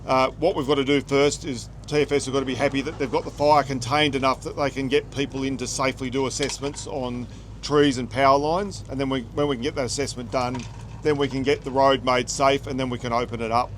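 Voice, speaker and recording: An Australian man with an ordinary mid-range voice speaks in a plain, measured way as he explains at an outdoor briefing. Clear vehicle and outdoor noise surrounds him. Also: medium pitch, fairly even intonation. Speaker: police inspector. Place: outdoors, near firefighting operations. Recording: outdoor recording with loud background noise.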